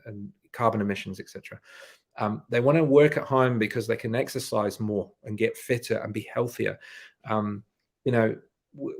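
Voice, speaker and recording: A man's voice: medium pitch, gravelly. A man speaking as a podcast guest and leadership coach in a casual conversation, earnest and emphatic, often restarting his sentences.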